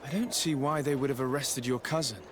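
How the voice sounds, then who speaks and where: A man's voice: mid-range voice, noisy background, natural voice. Composed young nobleman played by a man, formal speech. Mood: courteous, composed. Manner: formal, measured. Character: polite, resolute young nobleman diplomat. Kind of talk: video game cutscene acting.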